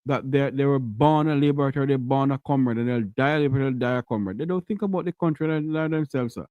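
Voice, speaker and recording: A man's voice: medium pitch, fast talker, no echo, muffled recording. Jamaican man hosting a call-in show. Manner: addresses callers as sir, weary and blunt. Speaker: call-in radio host.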